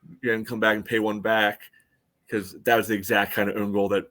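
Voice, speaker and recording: A man's voice: fairly low pitch, flat delivery, brisk, fairly bright tone. A man co-hosting a sports podcast, casually breaking down a soccer match in a conversational, qualifying way.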